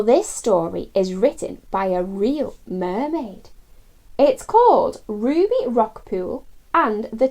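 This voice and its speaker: A woman reading a story aloud to children, hosting in an animated, expressive way and speaking directly to her young listeners. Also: high-pitched, no room sound. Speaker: children's story reader and host.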